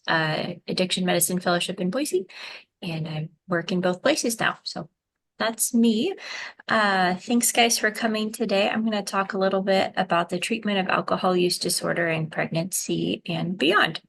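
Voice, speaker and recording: A soft-voiced young woman lectures on medicine over a video call, picked up by a webcam mic in a small room. Her voice sits low and carries a gravelly fry, and she talks hesitantly, filling gaps with ums and correcting herself. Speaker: physician presenter.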